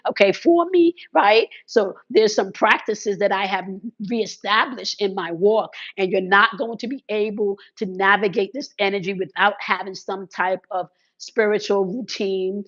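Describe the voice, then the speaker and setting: A woman with a hoarse, crackling voice from congested sinuses, talking casually to her viewers about spiritual routines and often checking in with "okay" and "right". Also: mid-range voice. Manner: repeats "okay" and "right" as check-ins, uses fillers. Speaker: spiritual content creator. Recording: slightly dull lo-fi sound.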